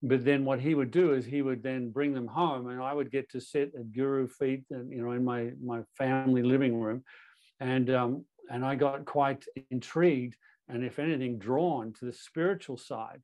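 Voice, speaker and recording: A man's voice: mid-range voice, steady tone, darkish tone, poor audio. Earnest Australian-accented man. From Canada. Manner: earnest, halting with restarts. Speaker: guest speaker. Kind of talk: personal testimony.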